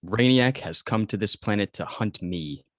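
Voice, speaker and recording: A man playing a stoic, protective alien robot guardian in a table read, speaking formally and in measured phrases. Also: fairly low pitch, lively intonation, no room sound, studio quality, lightly acted. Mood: insistent.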